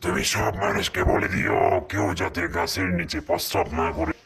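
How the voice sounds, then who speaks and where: Man acting a low-voiced menacing jinn. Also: fairly even intonation, moderate pace, a bit bright. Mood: aggrieved, demanding. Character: menacing male jinn, grudging, sweet-toothed bargainer. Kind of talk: amateur horror-comedy skit acting.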